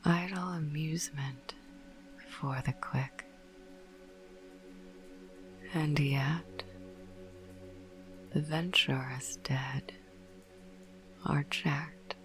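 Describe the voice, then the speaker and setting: A woman narrating a bedtime ASMR podcast in a hushed, breathy whisper, soothing and unhurried. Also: sits low, fairly even intonation, soft background music. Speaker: host of a sleep podcast.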